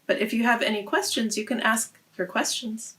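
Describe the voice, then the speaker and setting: A woman's voice: a little high, steady tone, normal speed, a bit bright. A woman hosting a read-aloud, narrating a story for children.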